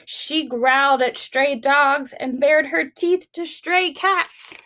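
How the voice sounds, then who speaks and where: A woman reading and talking to young children as a library storytime host, expressive and deliberate. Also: high-pitched.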